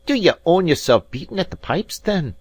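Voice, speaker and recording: A man reading aloud and voicing a mocking, mock-courteous Highland rival piper in a voice. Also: big pitch swings, normal speed, breathy edge, dry recording.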